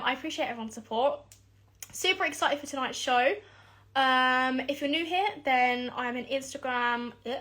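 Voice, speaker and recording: A young woman hosts a casual live interview over a video call. Her voice sits high and bright, and she talks in a loose, giggly way with plenty of ums. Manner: chatty, giggly, lots of filler ums. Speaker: podcast host.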